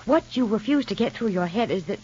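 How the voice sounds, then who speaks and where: Exasperated, husky American mother, middle-aged, 1950s radio serial, muffled archive sound. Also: mid-range voice, lively intonation, a little fast, darkish tone. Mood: earnest, pleading. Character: insistent, exasperated mother figure lecturing a younger woman. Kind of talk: 1950s radio soap acting. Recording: dull vintage radio recording.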